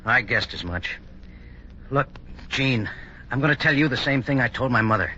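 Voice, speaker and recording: An actor playing a stubborn, defiant young man in a 1950s radio drama, brisk and intense. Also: medium pitch, animated, sharp tone. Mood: bitter, defiant. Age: young adult. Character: bitter, defiant young minister's son. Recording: muffled old radio recording, faint noise.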